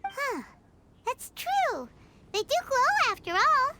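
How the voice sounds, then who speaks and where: A woman voicing a tiny, cheeky, excitable fairy-like companion in a video game, with a squeaky childlike voice and expressive, exclamatory delivery. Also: slow pace, a little hiss or hum, full character voice. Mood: chatty. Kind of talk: video game cutscene acting. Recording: music behind the voice.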